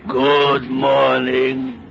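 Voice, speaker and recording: Menacing hairy wolf-man, extremely slow gravelly delivery, vintage radio drama, lo-fi sound. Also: medium pitch, completely flat delivery, background noise. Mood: slow, ominous. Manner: slow, drawn-out greeting. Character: menacing, beast-like hairy man, secret werewolf. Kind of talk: old-time radio drama acting. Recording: dull lo-fi old radio recording.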